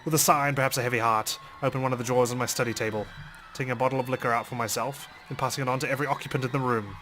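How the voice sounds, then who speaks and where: A man's voice: mid-range voice, steady tone, racing, fairly bright tone. A man reading visual novel text aloud as dramatic first-person narration. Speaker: let's play host reading game text aloud.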